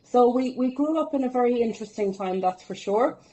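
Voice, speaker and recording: A woman's voice: on the high side, expressive, fairly quick, warm tone, poor audio. A woman, telling her life story as a podcast guest in a chatty, upbeat way with self-deprecating humour. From Ireland. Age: 40s. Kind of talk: casual interview storytelling.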